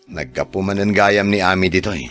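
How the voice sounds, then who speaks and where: Calm working husband in an ilocano radio drama, deep voice, no room echo. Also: male voice, a little fast, soft background music. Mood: curious. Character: calm, patient working husband of an ailing wife.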